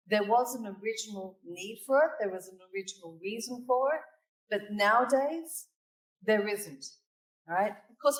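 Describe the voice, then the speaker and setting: A woman's voice: medium pitch, far from the mic. Australian-British woman speaking in an echoey hall. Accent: Australian mixed with British. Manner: storytelling, tags points with "right?" and "okay?". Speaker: conference speaker. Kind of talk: keynote presentation. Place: conference hall.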